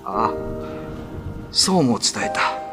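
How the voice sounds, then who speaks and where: A troubled young village man, played by a man speaks in strained, anguished tones in a radio drama. His pitch swings widely. Music plays behind him. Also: very animated, takes it slowly, full character voice.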